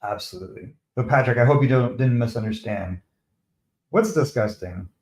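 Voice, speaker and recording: A man from Canada hosts a casual hockey livestream, talking over the game and answering chat. His voice sits at a medium pitch, and he sounds chatty and a little defensive. The recording has a roomy, echoey sound. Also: expressive, normal speed, a little mellow. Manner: chatty, defensive, talks to viewers by name. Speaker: live stream host. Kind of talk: casual live commentary, replying to chat.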